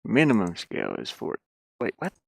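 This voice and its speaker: A man's voice: fairly low pitch, wildly swooping pitch, brisk, gravelly, darkish tone. A man giving casual think-aloud commentary as he works on a game, rambling and muttering to himself with repeated words. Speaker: game developer streaming his work.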